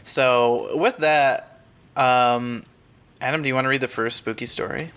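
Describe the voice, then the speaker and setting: Guy podcast host, bright crisp voice, mid pitch, chatting casually. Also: a little slow. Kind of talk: casual chat and reading a short story aloud.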